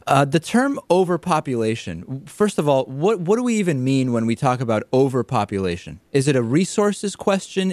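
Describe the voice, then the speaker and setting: A man with an American accent hosting a talk show, giving articulate, measured political commentary and interviews in a conversational way. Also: mid-range voice, darkish tone, dry recording, clean studio sound.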